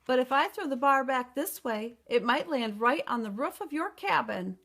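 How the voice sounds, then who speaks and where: A woman's voice: sits high, expressive, normal speed, gravelly, fairly bright tone, heavily acted. A woman voicing a clever, bold and polite little girl in a storybook, speaking with confidence. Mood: sly politeness. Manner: confident, calling out boldly.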